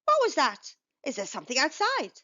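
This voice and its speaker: Storybook beaver, played by a woman: excitable, stubborn sweet tooth, high and swooping, emphatic, slightly muffled recording. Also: fairly quick, heavily acted. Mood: startled.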